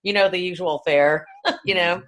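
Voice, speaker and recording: A woman's voice: lowish voice, normal speed, muffled recording. A woman co-hosting a podcast, talking in a rambling, blunt, informal way. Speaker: podcast co-host. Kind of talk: casual film discussion.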